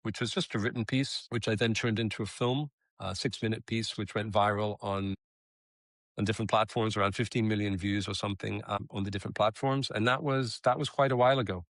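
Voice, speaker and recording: A middle-aged man from Israel, a copywriter and advocate, telling his story in an interview in an earnest, steady way with frequent restarts. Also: on the low side, brisk, no room sound. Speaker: guest, creative copywriter and advocate.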